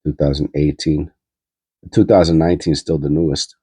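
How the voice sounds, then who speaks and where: Man, stuffy nasal voice from a cold, very low pitch, husky, dry sound, casual thinking-aloud chatter. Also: level tone, fairly quick, mellow, no echo. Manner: rambling, filler-heavy, thinking aloud. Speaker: coin-hunting channel host. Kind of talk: casual coin roll hunting commentary.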